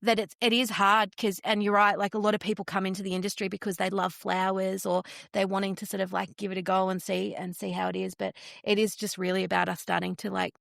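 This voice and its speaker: A woman's voice: mid-range voice, level tone, quick pace, gravelly, hardly any pauses, a bit bright, no echo, studio quality. A woman with an Australian accent, speaking as a podcast guest in an earnest, explanatory, conversational way. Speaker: podcast guest, flower industry entrepreneur. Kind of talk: conversational interview.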